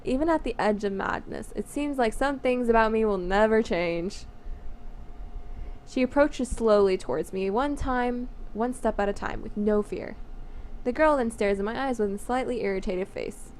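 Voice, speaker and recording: A woman's voice: fairly high pitch, moderate pace, a little mellow. A woman of nineteen reading a visual novel script aloud, playful and chatty with casual asides. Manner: giggly, playful, easily sidetracked. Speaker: visual novel let's player. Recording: noisy home recording.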